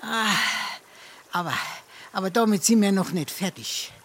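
A man's voice: high voice, a little slow, fairly bright tone, exaggerated voice. An actor playing a brazen, boozy male freeloader in a dialect stage comedy, groaning and self-important, with a Darmstadt Hessian accent. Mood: smug, indignant.

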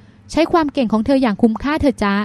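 A woman's voice: on the high side, fast talker, darkish tone, no room sound, close to an everyday voice. A woman reading the part of a caring but worn-out older sister, earnest and reproachful, in an audiobook.

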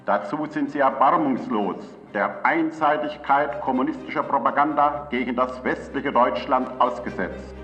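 A man's voice: medium pitch, moderate pace, hardly any pauses, a bit bright, faint music behind. A man giving a formal, grave political address in a 1940s manner. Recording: echoey, dull archive recording.

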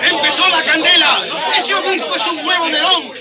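Very high, outraged colonist man amid crowd. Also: moderate pace, very noisy. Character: outraged, alarmist Spanish colonist in a mob. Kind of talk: radio drama acting. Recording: crowd clamour around the voice.

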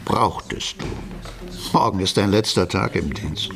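An actor playing a world-weary judge who chides an old friend in a familiar way, in a radio crime drama. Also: male voice, sits low, measured pace, vocal fry, music in the background. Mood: teasing, chiding. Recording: crowd murmur behind the scene.

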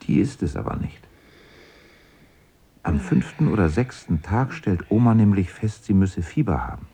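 A man's voice: low voice, big pitch swings, moderate pace, warm tone, husky, heavily acted. A man narrating a children's radio play as a composed storyteller, reading from a script.

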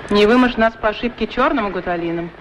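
A woman's voice: mid-range voice, quick pace, close to an everyday voice. A woman voicing a pair of chatty, haughty, curious lady's boots in a 1960s radio play, with a pert, demanding, questioning manner. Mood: pert, bossy. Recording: muffled old radio recording, loud background noise.

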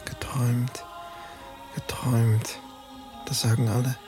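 An actor playing a shaken, weak young man doubting his sanity in a German radio play, hushed and distressed. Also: mid-range voice, little pitch movement, slow, music in the background, close to an everyday voice. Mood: haunted. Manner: hushed, haunted.

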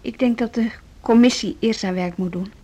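An actress in a Dutch radio play playing a strong-willed, principled music school deputy, articulate and pointed, with a Netherlands accent. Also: female voice, on the high side, normal speed, mellow, close to an everyday voice. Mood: pointed, resolute. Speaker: radio drama actor.

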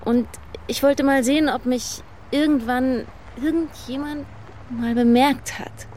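High-voiced, tentative teenage girl, acted by a woman. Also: fairly even intonation, measured pace, darkish tone, a little hiss or hum. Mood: tentative, curious. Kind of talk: audio drama dialogue.

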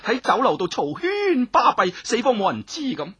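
Audiobook villainess, voiced by the narrator: imperious woman, cold menace, scornful delivery, sharp husky tone. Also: mid-range voice, wildly swooping pitch, moderate pace, voice pushed up, heavily acted. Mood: scornful, menacing. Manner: scornful snorts, commanding, menacing. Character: cold, cruel, imperious noblewoman passing death sentences.

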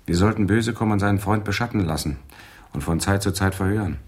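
An actor playing an inquisitive, businesslike police detective in a German radio crime drama, asking brisk questions. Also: male voice, lowish voice, close to an everyday voice. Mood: businesslike, curious.

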